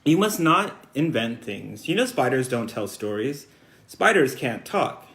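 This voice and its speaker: A man's voice: medium pitch, sing-song, moderate pace, fairly bright tone. A man reading aloud as a worried, stern farm mother in a storybook, scolding and anxious in manner.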